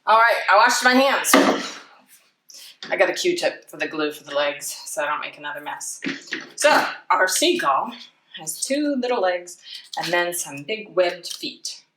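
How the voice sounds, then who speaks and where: A woman's voice: on the low side, very animated, a little slow. A woman giving a casual craft demonstration for children, chatting playfully with self-deprecating asides. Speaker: children's library storytime presenter. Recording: roomy, distant mic, clear background noise.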